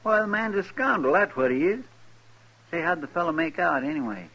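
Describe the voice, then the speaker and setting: An actor playing a chatty, nosy American sidekick to a marshal in a 1950s radio western, with a folksy drawl. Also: male voice, fairly high pitch, animated, brisk, pushed and bright, exaggerated voice. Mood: indignant, curious. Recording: muffled, hissy vintage radio recording.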